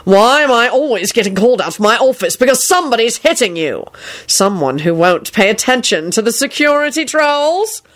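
A stern, authoritative male minister, put on by a woman narrator, barking orders and speaking with formal weight. The delivery is lively, in audiobook style. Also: sits low, moderate pace, full character voice. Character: commanding, gruff, formal male government minister, intimidating.

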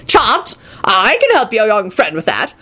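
A woman's voice: medium pitch, wildly swooping pitch, unhurried, pushed and bright, voice pushed up, heavily acted. A woman voicing a haughty, scolding, very old male wizard grandfather in a storybook reading, with pompous old-fashioned phrasing.

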